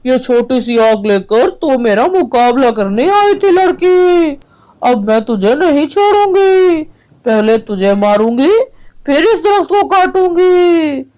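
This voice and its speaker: Woman voicing a slow, high, menacing witch. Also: sing-song, a little mellow, heavily acted, rough recording. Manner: gloating, drawn-out threats. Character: evil scheming vain witch, cackling and menacing. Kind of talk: children's storybook acting.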